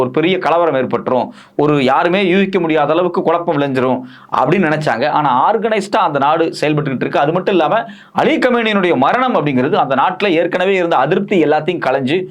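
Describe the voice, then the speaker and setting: A man with an Indian Tamil accent delivers political news commentary in a fairly high-pitched voice. He explains point by point in an emphatic way, repeating key phrases and posing questions that he then answers himself.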